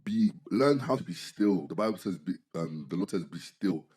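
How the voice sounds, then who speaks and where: A man, co-hosting a casual podcast chat, animated, often saying "bro" and "like" and restarting his sentences. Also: deep voice, normal speed. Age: 20s. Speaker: podcast co-host.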